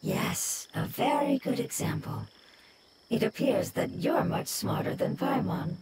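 A woman's voice: fairly high pitch, very animated, a little slow, noisy background. A woman voicing a wise mushroom spirit in a video game, with formal, precise wording. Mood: wise, calm.

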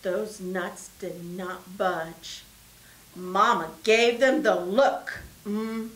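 A middle-aged woman, a librarian, reading a picture book aloud to children in an animated storytelling style. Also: medium pitch, very slow. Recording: roomy, echoey sound.

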